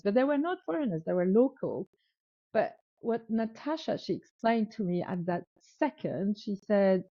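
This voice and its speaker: A woman's voice: mid-range voice, no room sound. A woman with a French accent, a guest telling a story in a casual interview, with frequent restarts and repeated words as she acts out quoted dialogue. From North Africa. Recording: dull lo-fi sound, dry room.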